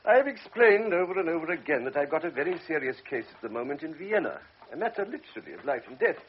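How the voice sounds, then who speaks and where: A man's voice: fairly high pitch, very animated, quick pace, dull audio, slight background noise. A man playing a blunt, cynical middle-aged doctor in a radio drama, talking in a brusque, lecturing, world-weary way. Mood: exasperated.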